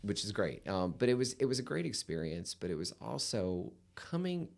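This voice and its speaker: Podcast guest: gravelly, low-voiced man in his fifties, chatty storyteller. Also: very animated, moderate pace, a little mellow. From United States. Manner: rambling storytelling with fillers. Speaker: podcast guest, real estate attorney. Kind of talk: casual interview chat.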